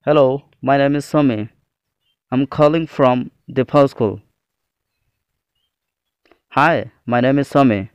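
A man teaching a scripted English lesson, reading out phrases in a deliberate, phrase-by-phrase way. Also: medium pitch, steady tone, clean tone, no echo.

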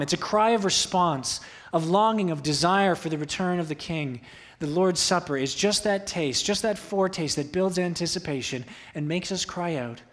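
Earnest young man preaching, slightly high. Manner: earnest, exhorting, repeats key phrases. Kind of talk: sermon. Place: church sanctuary at a pulpit. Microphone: pulpit microphone.

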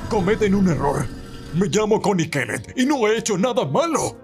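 A man with a Latin American accent playing an earnest, frightened but defiant captive in an animated fandub. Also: sits high, normal speed, music in the background. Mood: alarmed. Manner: earnest, dramatic. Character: frightened, defiant man pleading with his captor. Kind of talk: amateur animated fandub acting.

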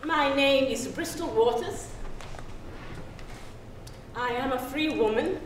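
A middle-aged woman playing a free young woman who solemnly recounts her birth at sea in a measured, halting stage monologue. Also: sits high. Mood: solemn, tender. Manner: measured, halting, deliberate storytelling. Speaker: actress. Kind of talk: dramatic stage reading, solo storytelling monologue. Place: theatre stage. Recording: roomy stage pickup, distant, hissy ambience.

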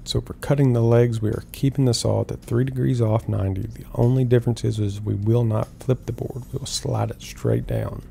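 A middle-aged man calmly explaining woodworking steps one at a time in an instructional voice-over. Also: medium pitch, brisk, creaky, hardly any pauses, a little hiss or hum. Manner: calm, step-by-step explaining. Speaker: woodworking host.

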